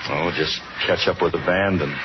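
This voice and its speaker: An American man playing a weary, gruffly tender drifting bandleader in a laconic 1950s radio drama manner. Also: low voice, steady tone, moderate pace, lightly acted. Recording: muffled, very noisy old radio broadcast.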